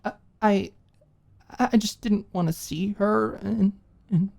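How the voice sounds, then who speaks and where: A woman's voice: medium pitch, slow pace, gravelly, darkish tone, voice pushed up. A woman voicing a drunk, guilt-ridden young woman in an audio drama, crying and stammering in a trembling, pleading voice. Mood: hurt, choked. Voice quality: trembling, faltering voice.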